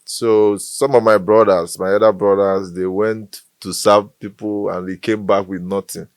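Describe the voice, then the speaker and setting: A man's voice: on the low side, little pitch movement, a little slow, smooth, dry recording. A young Nigerian man with a Bayelsa-tinged Igbo accent, a comedian joking through a casual interview and slipping into pidgin. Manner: playful, jokey, slips into pidgin. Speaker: comedian guest.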